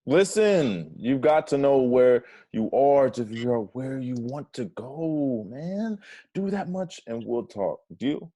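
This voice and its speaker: A man's voice: mid-range voice, animated, takes it slowly, mellow, no room sound. A man acting a drunk, earnest yet exasperated young best friend in a comedic table read, dry and conversational. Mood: earnest tipsy.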